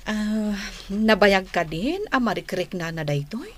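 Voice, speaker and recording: A woman's voice: mid-range voice, expressive, unhurried, fairly bright tone, a little hiss or hum. A woman playing a sensible, sympathetic elder-sister fruit trader in an Ilocano radio drama.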